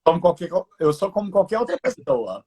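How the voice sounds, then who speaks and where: An American man who learned the language as a teenager, chatting relaxed in a live interview and often adding "né". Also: high voice, brisk, husky. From United States. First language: English. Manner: relaxed, laughing, tag questions with "né". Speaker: guest entrepreneur.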